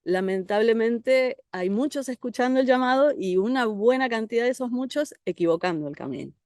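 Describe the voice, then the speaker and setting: Calm Argentine woman, dry close sound. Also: a little high, fairly quick, dry recording. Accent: Argentine Spanish. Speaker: guest therapist. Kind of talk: conversational interview. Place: country house outdoors among trees.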